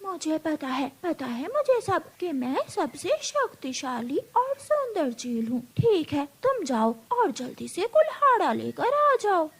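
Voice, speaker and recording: A woman voicing a fierce, greedy, vain female eagle in a cartoon story, speaking in a drawn-out, gloating, menacing way. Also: extremely high pitch, big pitch swings, full character voice. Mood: vain, boastful. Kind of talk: Hindi cartoon story character voice.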